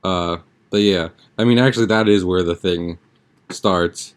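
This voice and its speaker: A man's voice: deep voice, unhurried, smooth. A young man chatting casually as a podcast host, with an informal, slangy, rambling way of talking. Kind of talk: casual podcast chat, episode recap.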